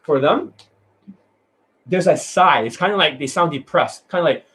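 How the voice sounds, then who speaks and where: A man with a somewhat high voice rants through a dating-coach lecture. He is blunt and profane, jumping from loud outbursts to low, grumbling mutters as he tears into his subject. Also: lively intonation, moderate pace, slightly rough. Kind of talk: ranting monologue lecture.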